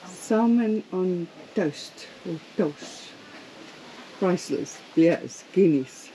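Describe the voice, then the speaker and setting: A woman's voice: lowish voice, expressive, drawn-out words, mellow, a little hiss or hum, low-quality recording. A woman chatting casually while out and about, picked up on camera audio. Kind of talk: casual chat. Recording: faint background ambience on camera audio.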